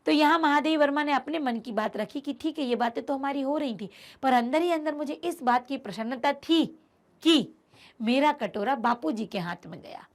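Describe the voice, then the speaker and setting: A woman teacher explaining a textbook chapter to her students, emphatic and repeating key phrases as she speaks to them directly. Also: high voice. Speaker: Hindi teacher.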